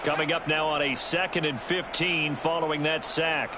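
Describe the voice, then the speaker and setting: A man playing a brisk, dramatic play-by-play announcer for an American football video game, speaking with an American accent. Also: a little high, steady tone, close to an everyday voice. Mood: excited. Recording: loud game noise over the commentary.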